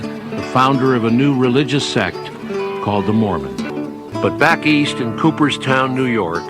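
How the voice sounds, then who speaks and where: Male documentary narrator over plucked guitar. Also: medium pitch. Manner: measured, storytelling. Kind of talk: scripted historical narration. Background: plucked guitar music.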